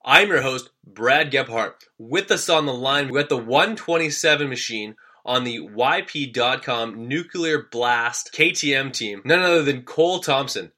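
A Canadian man hosts a motocross podcast and interviews a racer. His voice is bright and forceful, at a medium pitch. He talks with energy, but he stumbles through frequent uh fillers and restarts. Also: unhurried, few pauses. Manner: energetic, hesitant, many uh fillers. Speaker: podcast host.

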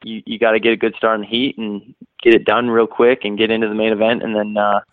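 A young man with a Canadian accent talks over the phone as an interview guest. His voice sits low and flat, and he speaks in a relaxed way, stringing thoughts together with plenty of uh and you know. The sound is tinny, dry and phone-like. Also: quick pace, no echo. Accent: Canadian, slightly worn toward American. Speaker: guest, pro motocross racer.

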